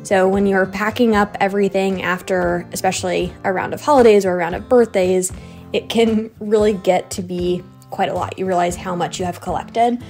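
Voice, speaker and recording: Young female American vlogger for easygoing voice-over, average pitch, backed by music. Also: fairly even intonation, normal speed, darkish tone. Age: young adult. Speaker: cleaning vlogger. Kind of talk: casual voice-over narration. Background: background music.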